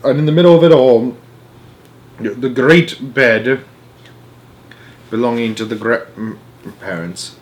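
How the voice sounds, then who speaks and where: A man with a mid-pitched voice reads a children's book aloud as a storyteller. His delivery is expressive and homemade, with small stumbles that he corrects as he goes. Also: slow pace, pauses often. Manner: expressive, stumbles over words and corrects himself. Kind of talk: amateur read-aloud narration with asides.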